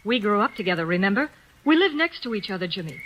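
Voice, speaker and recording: A woman's voice: medium pitch, very animated, quick pace, a little hiss or hum, natural voice. An actress playing a young woman firmly confronting her fiancé in an old radio drama. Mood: hurt. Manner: reproachful, firm. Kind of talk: 1940s radio acting. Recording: dull old radio broadcast.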